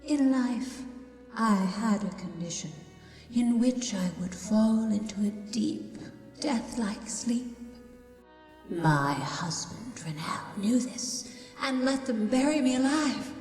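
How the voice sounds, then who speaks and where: Slow, echoing ghost woman. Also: fairly high pitch, animated, darkish tone. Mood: sorrowful. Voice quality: breathy, whispering. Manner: slow, haunting, dramatic. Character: vengeful ghost of a woman buried alive, sorrowful. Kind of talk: dramatic video game cutscene acting. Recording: cavernous echo, long reverb, music behind.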